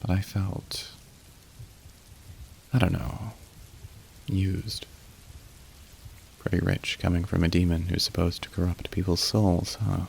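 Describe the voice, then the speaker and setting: Guy acting as a tender tired demon boy, asmr, real crackly low voice, hesitant and a bit wry. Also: level tone, slow pace, vocal fry, pauses often, heavily acted. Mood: wistful, confessional. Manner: soft, hesitant, confiding, gently wry. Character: weary, tender young male demon working a phone line. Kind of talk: intimate ASMR roleplay acting. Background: rain and thunder.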